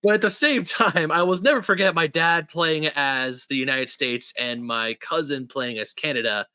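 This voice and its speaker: A man's voice: on the high side, normal speed, bright, forceful tone, clean tone, no room sound. A man in his thirties hosting a podcast, chatting casually and rambling with many restarts. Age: 30s. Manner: rambling, full of restarts and fillers. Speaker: podcast host. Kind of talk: casual chat.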